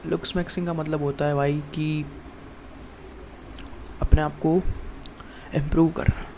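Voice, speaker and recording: A man's voice: on the high side, flat delivery, measured pace, dark tone, a little hiss or hum. A man of twenty-one giving a casual, rambling monologue as a fitness vlogger, calling the viewer "bro" over and over and restarting his sentences. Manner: rambling, addresses viewer as "bhai" constantly, many restarts.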